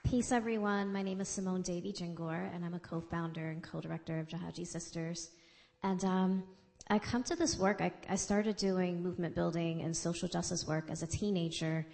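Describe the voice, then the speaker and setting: A woman's voice: fairly low pitch, moderate pace, creaky, a little mellow. A woman from the Bronx, a community co-director, speaking reflectively on a panel with frequent fillers. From the Bronx, New York. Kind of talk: panel talk.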